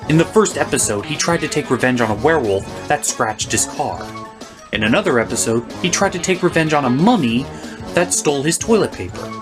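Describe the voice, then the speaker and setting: A man delivers a scripted comedy review with theatrical emphasis. His mid-pitched voice swings widely up and down as he rants, with clear music playing behind him. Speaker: host and reviewer.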